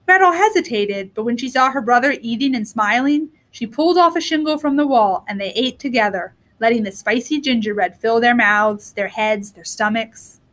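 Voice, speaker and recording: A woman reading a children's story aloud in an expressive storytelling style. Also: on the high side, moderate pace, hardly any pauses. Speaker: storybook reader.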